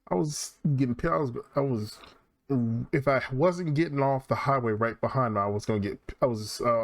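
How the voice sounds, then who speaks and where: A man of average pitch comments casually on a game. He thinks aloud, rambling in slangy, colloquial phrasing. Soft music is audible behind him. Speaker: let's play host.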